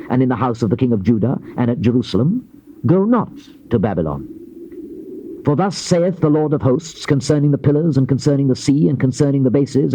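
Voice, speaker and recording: A man narrating a dramatized Bible reading in a solemn, measured, emphatic oratorical delivery. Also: medium pitch, warm tone. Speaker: scripture narrator. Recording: dull noisy old cassette tape.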